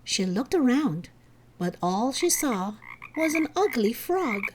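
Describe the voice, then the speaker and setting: A woman narrating a scripted children's story in an expressive, measured way. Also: on the high side, a little hiss or hum.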